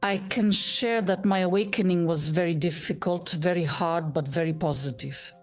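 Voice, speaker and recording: A woman's voice: lowish voice, normal speed, soft background music. A woman in her fifties from Bulgaria, a guest telling her life story in an earnest, heartfelt, reflective way. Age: 50s. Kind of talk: personal storytelling in an interview.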